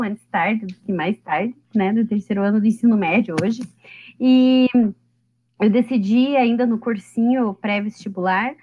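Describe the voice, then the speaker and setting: A woman in her late thirties is chatting on a podcast, her voice sitting fairly high. She jokes at her own expense and laughs easily. The recording is dull and lo-fi. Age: 30s. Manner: chatty, self-deprecating, laughs often. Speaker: podcast guest. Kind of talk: casual interview.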